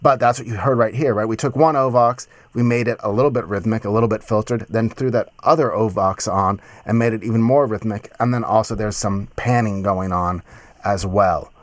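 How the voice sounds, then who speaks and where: A man in his thirties giving a casual, enthusiastic music software tutorial in a conversational way, close on a mic in a home studio. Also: on the low side, fairly even intonation, fairly quick, no room sound. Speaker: music production tutorial host. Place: small home studio, indoors.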